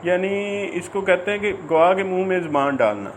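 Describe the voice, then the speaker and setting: A man with a Pakistani accent explaining law in an informal lecture, haltingly and repetitively, mixing in English legal terms. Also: sits high, animated, a little hiss or hum.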